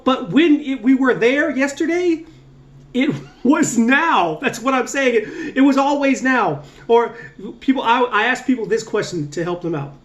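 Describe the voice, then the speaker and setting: A man talking as a mindset coach, giving an earnest, casual motivational talk to camera, rising on key points and slowing down to stress his words. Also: very high voice. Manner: earnest, repetitive, emphatic with drawn-out slow endings.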